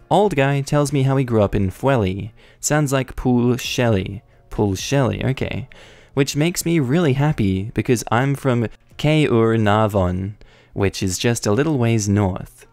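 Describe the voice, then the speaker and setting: A man's voice: medium pitch, creaky, darkish tone, no echo. A man narrating online forum stories aloud, reading evenly with the odd wry aside. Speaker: story narrator.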